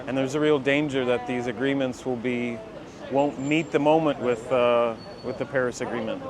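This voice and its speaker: Male interviewee, mid-pitched and measured, pausing often, busy street bustle behind. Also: fairly even intonation, a crowd in the background.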